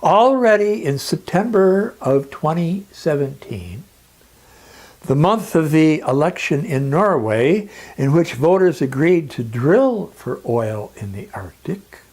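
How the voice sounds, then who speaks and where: A man delivering a scripted, persuasive lecture in a solemn, emphatic manner with dramatic pauses. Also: fairly high pitch, big pitch swings, darkish tone.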